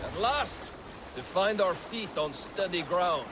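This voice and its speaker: Brash hungry viking raider, high voice, blustery, video game, loud boat and water noise behind. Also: male voice, animated, slow pace. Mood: watchful. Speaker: game character actor. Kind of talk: cinematic video game acting.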